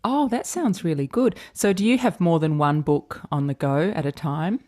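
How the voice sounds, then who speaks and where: Low-voiced woman podcast host. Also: normal speed, a little mellow, no echo, clean studio sound. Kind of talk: scripted intro then casual interview.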